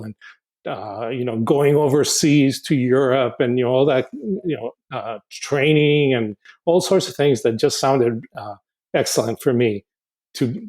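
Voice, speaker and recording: An older man from Honduras speaks as a podcast guest with a mid-range voice. He talks in a halting, thoughtful way. He often stumbles and repeats words as he gathers his thoughts. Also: medium pitch, darkish tone. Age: 60s. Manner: halting and reflective, frequent restarts and repeated words. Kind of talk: casual podcast interview.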